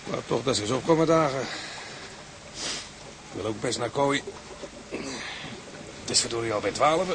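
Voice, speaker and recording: An actor playing a down-to-earth boatswain in a radio drama, with a wry, blunt way of talking. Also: male voice, medium pitch, unhurried, vocal fry, noisy background. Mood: weary. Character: down-to-earth male boatswain, wry and matter-of-fact.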